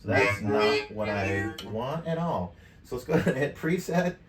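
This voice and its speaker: A man in his fifties giving a casual, off-the-cuff software demonstration, jokey and slangy, with plenty of swearing. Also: on the low side, animated, normal speed, over music. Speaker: tutorial presenter. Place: indoor home studio at a desk. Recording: roomy, distant mic, clear background noise.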